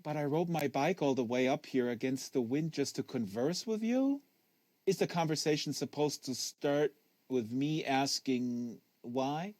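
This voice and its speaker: A man's voice: medium pitch, expressive, a little slow, darkish tone, dry recording, close to an everyday voice. A man reads as a precocious young boy in a literary audiobook. He is wary and literal, stumbling over some words and exact to the point of pedantry. The sound is close and dry. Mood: puzzled. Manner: hesitant, stammering, pedantically exact. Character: precocious, wary, literal-minded ten-year-old tennis prodigy boy.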